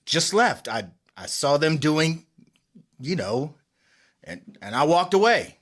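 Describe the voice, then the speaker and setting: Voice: mid-range voice, sing-song, slow, fairly bright tone, heavily acted. A performer reading an exasperated, bitter husband in a comic table read, sounding irritated, sarcastic and defensive. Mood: irritated, guarded.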